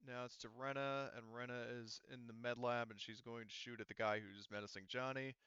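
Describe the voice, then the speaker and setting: A man acting as game master in an online roleplaying session, thinking aloud and haltingly working through rules and dice. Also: mid-range voice, fairly even intonation, pushed and bright, clear, resonant tone, no echo, lo-fi sound.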